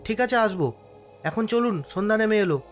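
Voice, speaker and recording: A man playing a deferential village tea seller and helper, speaking in an animated, cautioning way in a horror cartoon. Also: high-pitched, fairly quick. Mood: agreeable, humble. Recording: music under the voice.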